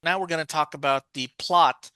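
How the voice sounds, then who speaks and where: A man co-hosting a podcast in casual discussion, often halting and correcting himself as he goes. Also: a little high, pushed and bright, clean tone, no echo, studio quality. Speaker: podcast co-host.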